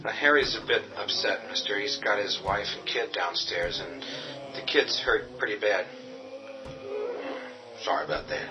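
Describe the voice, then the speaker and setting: A man's voice: on the low side, moderate pace, close to an everyday voice. An actor playing a hard, commanding man who defends a boarded-up farmhouse and turns to menacing whispers. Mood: firm. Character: tough defiant man holding a farmhouse. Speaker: Ben. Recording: dull distant audio over music.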